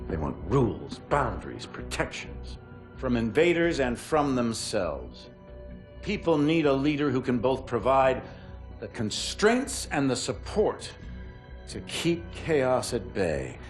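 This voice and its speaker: A man's voice: mid-range voice, big pitch swings, slow, soft background music. An actor with an American accent playing a cynical, authoritarian man of power in a video game, lecturing in an emphatic, folksy way. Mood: coldly assured. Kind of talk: dramatic video game monologue.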